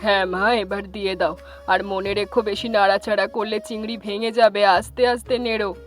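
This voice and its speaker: A woman's voice: medium pitch, little pitch movement, brisk, soft background music, close to an everyday voice. A woman voicing a kindly, encouraging old village grandmother in a cartoon story. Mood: patient, instructing. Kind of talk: cartoon story dialogue in Bengali.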